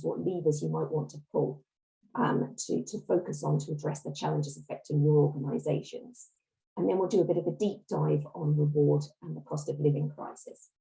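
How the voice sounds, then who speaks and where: Hoarse woman with a croaky cold. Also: low-pitched, dark tone, rough recording. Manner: clears throat often, apologetic. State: hoarse, under the weather. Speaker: guest speaker, reward consultant. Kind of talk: webinar presentation. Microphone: video call.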